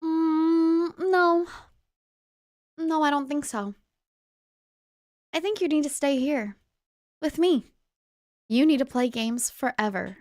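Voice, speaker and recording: A woman voicing a glitchy, possessive video game girl who taunts and coaxes in a slow, drawn-out way. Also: sits high, darkish tone, dry recording, studio quality, voice pushed up.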